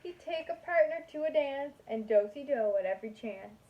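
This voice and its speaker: A woman's voice: high voice, expressive, moderate pace, dull audio. A woman kindergarten teacher reading a picture book aloud to young children in a sing-song manner.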